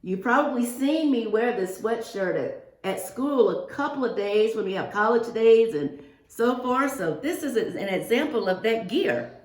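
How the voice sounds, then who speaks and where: A woman's voice: a little high, lively intonation, measured pace. A woman reading a picture book aloud to young pupils, expressively, with asides and questions addressed to the children. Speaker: guest reader for a second-grade class. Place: indoors, reading remotely to a class. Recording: roomy, echoing sound of a virtual class reading.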